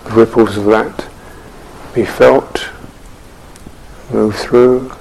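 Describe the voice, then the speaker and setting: Slow, husky man guiding meditation. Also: mid-range voice, fairly even intonation, darkish tone, poor audio. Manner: measured, contemplative, with long pauses. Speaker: Buddhist monk teaching meditation. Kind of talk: guided meditation and dharma talk.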